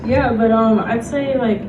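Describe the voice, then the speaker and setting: A woman giving a casual, informal panel presentation, talking loosely and conversationally with lots of "like". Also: high-pitched. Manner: conversational, loose, filler-heavy with frequent "like" and small laughs. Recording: roomy distant mic, loud music behind.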